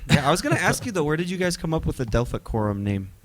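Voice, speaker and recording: A man's voice: a little high, very animated, racing. A man chatting casually and jokingly as a guest on a radio show. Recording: faint background noise.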